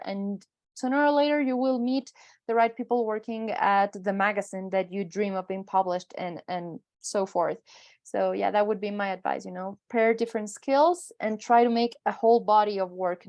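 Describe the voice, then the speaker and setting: A woman's voice: medium pitch, moderate pace, a little mellow. A woman panelist giving conversational, earnest advice and explaining her points step by step.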